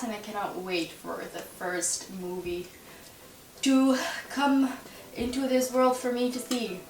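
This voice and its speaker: A 21-year-old woman from the Netherlands with a Dutch accent and Dutch as her first language, chatting and rambling to camera with frequent giggles and fillers. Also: medium pitch. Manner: chatty, rambling, giggly, with many likes and ums. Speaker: YouTuber answering a tag. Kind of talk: casual chat to camera. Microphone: camera mic at a distance. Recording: roomy, distant, with music behind. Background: music playing under the voice.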